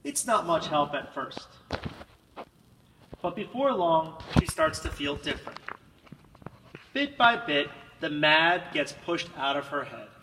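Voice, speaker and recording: Echoey, higher-voiced man reading a storybook dramatically. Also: sing-song. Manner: dramatic, with drawn-out words and emphatic swells. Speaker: storybook reader. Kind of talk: expressive children's picture-book read-aloud. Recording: echoey room, distant mic, faint noise.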